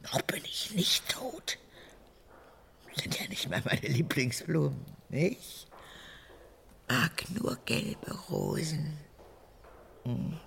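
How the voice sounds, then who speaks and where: A woman's voice: low voice, big pitch swings, gravelly, a bit bright, full character voice. An actress playing a vain, erratic old mother and former officer in a radio drama, her voice cracked and often falling to a whisper. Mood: vain, petulant. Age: 80s. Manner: theatrical, erratic, halting then snapping. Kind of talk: German radio drama acting.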